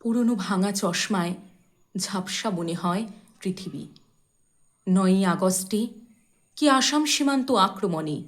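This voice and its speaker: A woman giving a dramatic poetry recitation in a measured, expressive way, with deliberate pauses and phrase endings that fall softly. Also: medium pitch.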